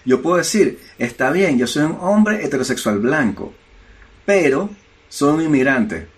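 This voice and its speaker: A man's voice: fairly high pitch, animated, unhurried. A man with a Venezuelan accent, co-hosting a podcast in a casual discussion, arguing emphatically with lots of filler words. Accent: Venezuelan Spanish. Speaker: podcast co-host.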